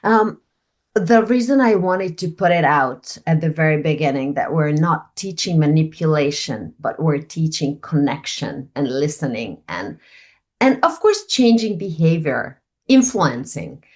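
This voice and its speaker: Woman, interviewer, measured articulate questions, slightly low voice. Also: animated, a little mellow. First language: Hungarian. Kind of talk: video interview.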